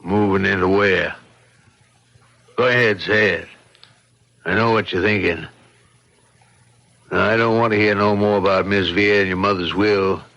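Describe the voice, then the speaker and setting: Deep-voiced, surly old radio father. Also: steady tone, unhurried, long pauses, fairly bright tone. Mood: surly, hostile. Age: older. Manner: blunt, suspicious, uneducated speech. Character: gruff, surly, tight-fisted older father. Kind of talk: old-time radio drama acting. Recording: dull old radio drama recording.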